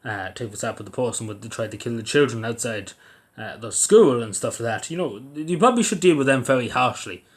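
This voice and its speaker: A man's voice: medium pitch, fairly quick. An Irish man giving his opinions in a casual vlog, rambling with frequent restarts and fillers. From Ireland. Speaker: vlogger. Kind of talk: opinion commentary to camera.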